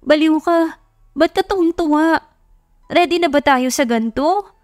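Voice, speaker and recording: A woman's voice: high-pitched, measured pace, no room sound, studio quality, voice pushed up. A woman voicing a feisty young woman in love who is emotional and disbelieving, in an audio love story. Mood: flustered surprise. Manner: emotional, exclaiming, teasing.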